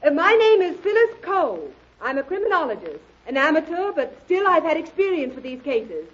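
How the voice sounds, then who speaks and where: An actress playing a poised young amateur detective who takes charge with crisp commands in an old-time radio drama. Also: female voice, sits high, sing-song, a little fast. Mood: commanding. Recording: dull old radio recording, crowd murmur.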